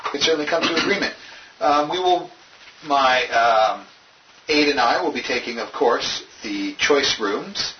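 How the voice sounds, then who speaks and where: A man acts out a courteous merchant traveller in a tabletop game. He speaks formally and haltingly, with a businesslike, probing manner. The recording is dull and roomy, with clear noise behind him. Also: medium pitch, expressive, natural voice. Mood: formal, haggling. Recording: dull, very noisy, echoey distant room mic.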